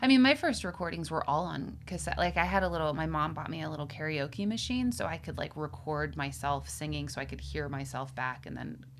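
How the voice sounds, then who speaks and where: A woman from Western Pennsylvania with a light Western Pennsylvania accent, a musician chatting casually as an interview guest, self-deprecating and quick to laugh. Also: deep voice, a little hiss or hum.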